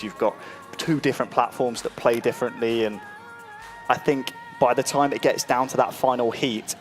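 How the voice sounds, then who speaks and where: A man commentating on an esports football match, building up into excited play-by-play calls. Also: mid-range voice. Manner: excited when a goal is scored.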